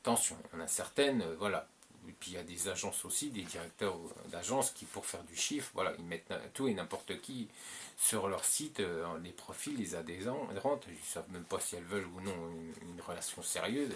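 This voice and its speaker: Low-voiced man giving rambling advice. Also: animated, fairly quick, fairly bright tone, a little hiss or hum. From French-speaking. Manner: rambling, repeats himself, many filler "euh"s. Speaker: channel host giving advice. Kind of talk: casual advice monologue.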